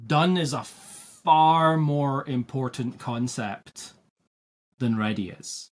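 Husky Scottish man co-hosting a podcast. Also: medium pitch, takes it slowly. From Scotland. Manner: thoughtful, restarts and searches for words. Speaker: podcast co-host. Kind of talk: casual podcast discussion.

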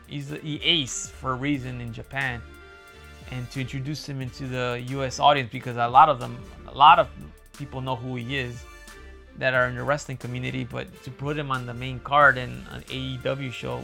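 A man with a loud, booming voice that is gravelly and bright-edged. He rambles in a casual monologue, circling back with fillers and restarts. Faint guitar music plays behind him. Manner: rambling, repeats himself, many fillers and restarts. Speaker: wrestling fan commentator.

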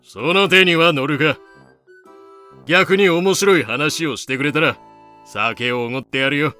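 A man's voice: fairly high pitch, measured pace, pushed and bright, soft background music. A man in a fan audio drama playing a cool pirate-era adventurer with casual, dry speech. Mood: dry, teasing. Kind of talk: Japanese fan-made audio drama acting.

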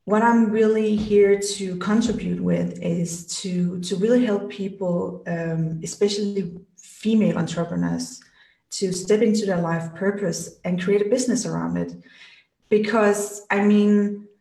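A woman's voice: on the low side, a little mellow. A woman from Denmark in her thirties, a podcast guest speaking casually with many fillers and restarts. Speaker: podcast guest, business strategist. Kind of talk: casual interview talk. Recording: echoey room, voice a bit far from mic.

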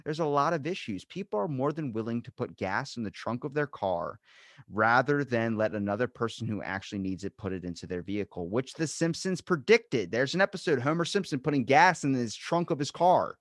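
A man with a Baltimore accent rambles through a casual podcast chat, animated and swearing freely. His voice sits at an ordinary middle pitch. The sound is dry and close. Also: fairly quick, no room sound.

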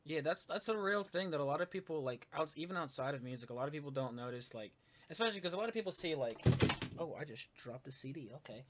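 A man's voice: fairly high pitch, animated, fast talker, background noise. Chatty man hosting an interview. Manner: chatty, filler-heavy, supportive. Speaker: interviewer, YouTube channel host. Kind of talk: casual interview chat.

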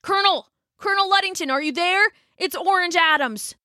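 A woman's voice: very high-pitched, fairly quick, bright, forceful tone, no echo, studio quality, pitched above the performer's own voice. Woman voicing a breathless wounded teen boy messenger. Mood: desperate. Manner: breathless, pleading. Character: sixteen-year-old boy messenger, wounded and urgent.

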